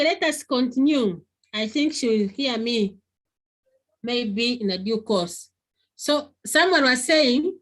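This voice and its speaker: A woman's voice: high-pitched, fairly bright tone. A woman teacher giving an online class lesson over a video call, repeating key phrases and questioning her pupils often. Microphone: computer on a video call.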